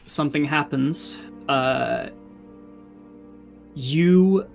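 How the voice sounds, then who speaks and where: A woman narrating a tabletop roleplay game as game master, in a hesitant, halting way. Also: low-pitched, vocal fry, a little mellow, soft background music.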